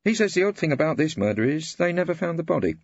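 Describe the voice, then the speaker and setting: A man voicing a brisk, businesslike barristers' clerk in an audiobook. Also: fairly high pitch, sing-song, no echo, highs cut off, pitched above the performer's own voice. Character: male barristers' clerk, brisk, matter-of-fact. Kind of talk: audiobook character dialogue.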